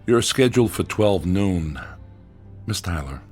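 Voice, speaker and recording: An actor playing a cautious, authoritative hospital doctor in a radio drama, formal and measured in manner. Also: male voice, low voice, expressive, darkish tone, faint music behind. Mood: measured, reassuring.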